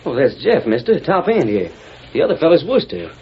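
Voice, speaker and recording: An excitable, folksy ranch hand talks in an American Western drawl with lots of swing in his pitch. It is old radio western acting, with light hiss on the recording. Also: fairly quick. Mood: astonished, eager. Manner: drawling, easily astonished, eager. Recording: dull, noisy 1940s radio recording.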